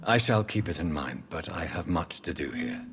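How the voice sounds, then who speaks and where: Casting: brooding British vampire gentleman, game drama. Deep voice, lively inflection, formal intensity. Music bed. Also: moderate pace. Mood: intense, concerned. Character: brooding, earnest gentleman vampire in his prime. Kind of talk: dramatic video game dialogue. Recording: background music under the voice.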